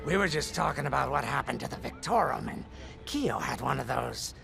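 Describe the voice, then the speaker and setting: A man's voice: mid-range voice, lively intonation, a little fast, vocal fry, over music. An actor playing a joking young male starfighter pilot, playful and wisecracking in manner. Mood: chatty. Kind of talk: video game voice acting.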